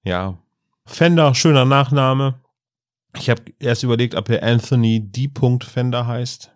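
A man with a mid-range voice trades casual banter and wry jokes. The recording is dry and close. He sounds relaxed in conversation. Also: medium pitch, a little slow, dry recording. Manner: joking, wry asides. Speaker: podcast co-host.

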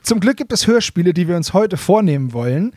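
Male co-host, fairly high voice, dry sound, chatty podcast delivery with English phrases mixed in. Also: sing-song, a little fast, a little mellow, no room sound. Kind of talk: casual podcast chat.

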